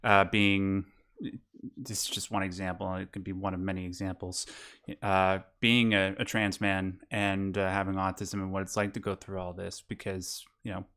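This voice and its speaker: Low-voiced man giving rambling advice. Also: flat delivery, normal speed, a bit bright, clear, resonant tone. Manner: rambling, encouraging, many fillers and asides. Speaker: host. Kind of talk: casual call-in advice chat. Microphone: video call.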